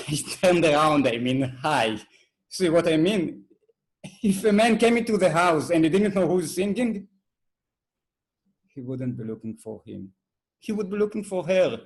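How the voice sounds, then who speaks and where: A man performing a stage monologue as an agitated, suspicious working-class uncle from the docks, insistent and pleading in a colloquial way. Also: fairly high pitch.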